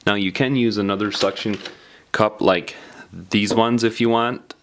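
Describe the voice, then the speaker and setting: Man, lowish pitch, casual DIY tutorial narration, plain-spoken, explanatory, step by step. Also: steady tone, unhurried, a bit bright. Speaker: DIY phone repair tutorial presenter.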